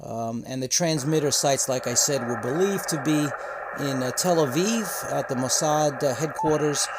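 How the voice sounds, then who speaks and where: A man hosting a ham radio channel, explaining in a casual, halting way with many filler sounds. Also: medium pitch, very noisy.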